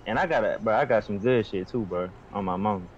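A man's voice: lowish voice, quick pace, crowd noise. A man chatting casually over in-game voice chat in profane slang. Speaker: online game player. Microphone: online voice chat. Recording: dull lo-fi voice chat, faint noise.

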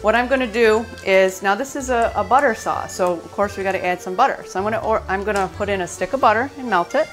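A woman's voice: mid-range voice, fast talker, few pauses, soft background music. Woman cook walking thru a recipe at home, plain middle voice, kitchen sounds behind. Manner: plain, step-by-step explaining. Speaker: cook and host. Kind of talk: cooking demonstration.

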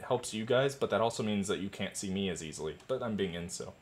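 A man's voice: lowish voice, lively intonation, quick pace. A young man chatting casually while playing an online game with friends. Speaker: gaming video creator playing with friends. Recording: clearly noisy home headset recording.

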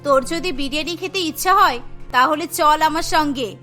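Woman as a high, bright, cheeky schoolgirl. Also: moderate pace, soft background music. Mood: eager, cocky. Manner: brazen, wheedling. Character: cheeky, scheming schoolgirl crazy about biryani. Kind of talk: cartoon story acting.

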